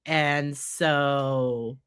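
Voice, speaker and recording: A woman's voice: extremely low pitch, steady tone, extremely slow, fairly bright tone, clean tone, no room sound, studio quality. A woman psychologist hosting a casual commentary on news cases, congested and nasal from a head cold, talking conversationally with frequent fillers. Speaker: psychologist host.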